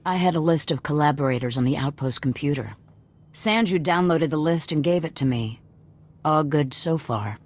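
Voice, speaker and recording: An actress playing a wary, commanding female leader of a rebel cell in a video game, with a brisk, probing, businesslike manner. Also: sits low. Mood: suspicious. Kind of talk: video game dialogue acting.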